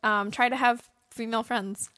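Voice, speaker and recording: Woman podcast host w/ higher voice, chatty n emphatic, giving advice, really dry close sound. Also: fairly even intonation, moderate pace, a bit bright, dry recording. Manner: emphatic, acts out imagined thoughts and quotes. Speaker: podcast host and audio drama producer. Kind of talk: casual behind-the-scenes advice chat with a co-host.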